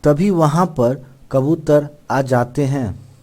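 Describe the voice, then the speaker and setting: A man's voice: fairly high pitch, slow, mellow. A man reading scripted narration for a children's cartoon story.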